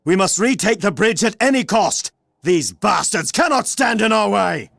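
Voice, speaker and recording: A man playing a stern, resolute army commander in a fantasy game, grave and commanding, with a gravelly edge. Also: sits high, big pitch swings, normal speed, a bit bright, no echo, heavily acted. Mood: defiant. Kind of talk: fantasy video game acting.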